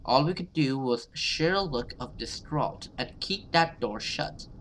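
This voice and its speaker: Bright-voiced man doing casual gaming commentary. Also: mid-range voice, expressive, a little hiss or hum, low-quality recording. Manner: halting, repeats himself, exclaims and swears. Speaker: gaming video host. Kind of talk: casual let's play commentary, reading game text aloud.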